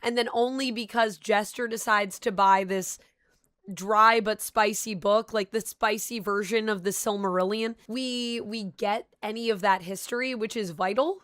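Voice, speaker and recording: A woman's voice: mid-range voice, a bit bright, no echo, clean studio sound. A young woman hosting a casual review vlog, talking in a chatty, enthusiastic way while a little sick, her voice slightly off. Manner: chatty, enthusiastic fan talk. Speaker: channel host reviewing a show.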